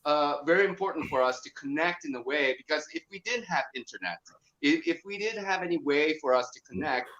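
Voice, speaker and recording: A man speaking as a guest, working through his ideas slowly and filling pauses with "uh" and "like." His voice sits a bit high for a man. The sound is dull and lo-fi. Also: animated, fairly bright tone. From America. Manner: thoughtful, hesitant, many fillers. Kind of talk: casual interview talk.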